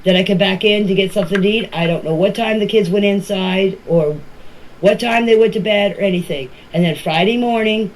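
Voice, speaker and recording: A woman's voice: medium pitch, brisk. Casting: mature woman, plain-spoken and colloquial, recounting events in order, homemade voice-message sound with some noise. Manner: plain, step-by-step telling in colloquial grammar. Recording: noisy voice-message clips.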